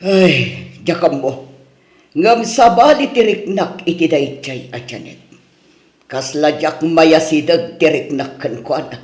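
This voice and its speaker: A woman's voice: low-pitched, lively intonation, slow, darkish tone. A woman playing a protective, reluctant older mother in an Ilocano radio drama, with an Ilocano accent. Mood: reluctant, resigned. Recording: roomy echo.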